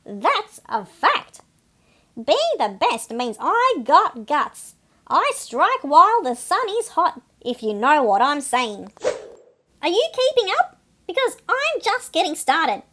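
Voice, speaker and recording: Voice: very high-pitched, wildly swooping pitch, slow pace, noisy background, exaggerated voice. A reader voicing a boastful, melodramatic seagull in a children's picture book, with a brash, wisecracking, theatrical delivery. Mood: smug, boastful. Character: boastful, melodramatic cartoon seagull, streetwise snack thief. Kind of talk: animated picture-book read-aloud for children.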